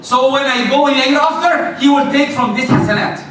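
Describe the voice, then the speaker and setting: A man with a loud voice preaching a lively, emphatic sermon in a mosque. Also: very high-pitched, brisk. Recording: echoey hall from far off, faint noise.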